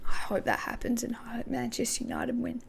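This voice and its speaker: A woman hosting a casual football podcast, her voice croaky, thinking aloud with many hesitations. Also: on the high side, wildly swooping pitch, a little fast, whispered. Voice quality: gravelly. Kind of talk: casual pre-match football talk.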